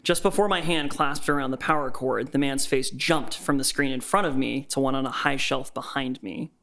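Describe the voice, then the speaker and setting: Male actor as terrified male captive, first-person horror narration, tense, earnest, average pitch. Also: normal speed, sharp tone. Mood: tense dread. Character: frightened captive call-center worker telling his ordeal.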